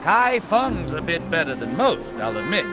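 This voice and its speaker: An actor playing a scornful, blustering, world-weary old drinker in a video game. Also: male voice, fairly high pitch, sing-song, normal speed, over a noisy crowd. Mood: scoffing, boastful. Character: scoffing, world-weary male teahouse regular, old drinker. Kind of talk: dramatic video game dialogue. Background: music.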